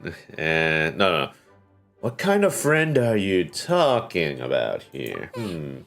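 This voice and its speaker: A man's voice: low-pitched, huge pitch swings, takes it slowly, a bit bright, faint music behind. A man giving casual livestream commentary on a game, hesitant and musing aloud.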